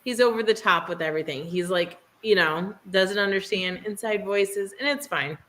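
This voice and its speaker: A woman's voice: mid-range voice, moderate pace, a bit bright. A woman in her thirties with a Midwestern American accent, chatting casually on a livestream, rambling and self-deprecating and often trailing off mid-sentence. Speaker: livestream co-host.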